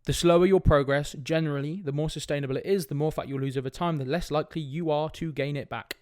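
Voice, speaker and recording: Young male podcast host, sniffly with a cold, direct and casual, slightly high voice, dry close mic. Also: steady tone, fast talker, darkish tone, no room sound. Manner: direct, casual, swears, frequent "right?" asides. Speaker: fitness coach podcast host. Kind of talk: casual solo podcast talk.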